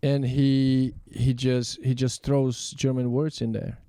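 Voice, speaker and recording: A man whose first language is Slovak hosts a podcast in an easy, eager way, nodding along with constant "yeah"s. His voice is mid-pitched and warm-toned. The recording is dry and close. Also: clear, resonant tone, no room sound. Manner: eager, quick back-channel "yeah"s, animated anecdotes. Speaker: podcast host. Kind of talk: casual interview chat.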